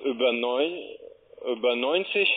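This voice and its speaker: A man speaking non-native German as a call centre agent, making a halting, repetitive sales pitch over the telephone. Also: lively intonation. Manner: halting, repeats himself. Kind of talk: phone sales talk. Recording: muffled narrowband phone line.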